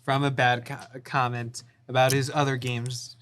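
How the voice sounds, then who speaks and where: A man's voice: medium pitch, flat delivery, unhurried, fairly bright tone. A man hosting a casual group chat about video games and movies, hesitantly steering everyone back on topic. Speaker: host of a group podcast.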